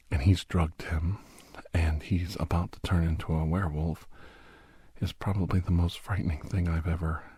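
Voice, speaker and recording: A man acts as a grave, war-worn doctor narrating horror in an ASMR audio drama. His voice is deep and very husky, often sinking toward a whisper. He speaks slowly and haltingly, close and dry, like someone haunted by what he has seen. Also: dry recording, exaggerated voice. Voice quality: breathy, husky. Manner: slow, halting, hushed, often slipping into whisper.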